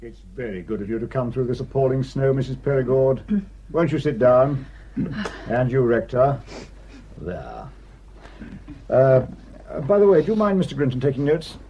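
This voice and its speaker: A man's voice: medium pitch, big pitch swings, normal speed, pauses often, highs cut off, a little hiss or hum. A man acting a sardonic police superintendent in a radio drama, questioning with wry insistence. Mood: wry, courteous. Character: sardonic, probing police superintendent investigating a murder. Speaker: actor.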